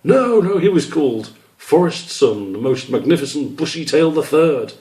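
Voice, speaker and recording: A man's voice: a little high, big pitch swings, moderate pace, darkish tone, pitched above the performer's own voice. A man voicing a proud, long-winded father squirrel in a children's story, explaining things with emphasis.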